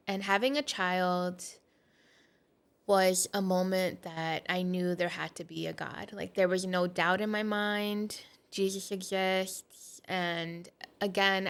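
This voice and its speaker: A woman in her thirties, originally from Portugal, speaks as a podcast guest in a mid-range voice. She tells her faith story in a candid, reflective way and often pauses on "um" and "like". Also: mid-range voice, vocal fry, fairly bright tone, clear, resonant tone. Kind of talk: personal testimony in conversation.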